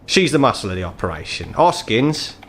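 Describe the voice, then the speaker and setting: A man plays a gruff, ageing troll who works as an underworld fixer in a tabletop game. He is British, blunt and foul-mouthed, and speaks like someone bitter and tired of living in the gutter. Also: medium pitch, sing-song, fairly quick, a bit bright, a little hiss or hum. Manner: blunt, sweary, world-weary.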